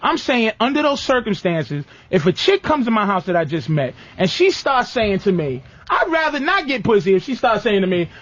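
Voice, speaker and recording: A man's voice: high voice, sing-song, normal speed, hardly any pauses, fairly bright tone, dull audio. A man hosting a talk radio show, bantering in a blunt, profane, confrontational comedic style. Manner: slips into mocking impressions of women.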